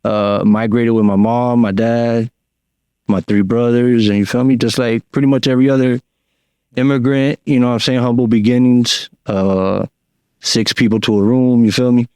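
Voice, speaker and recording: A Cuban-born man, a guest talking casually on a podcast in a slangy, relaxed way full of fillers. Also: medium pitch, moderate pace, smooth, no room sound, clean studio sound. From Cuba. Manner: laid-back slangy talk with many fillers.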